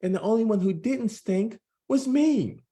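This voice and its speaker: A man's voice: sits high, expressive, measured pace, mellow, pitched above the performer's own voice. A man voicing an anxious, earnest thirteen-year-old schoolboy in a children's graphic novel read-aloud, with a fretful, youthful manner.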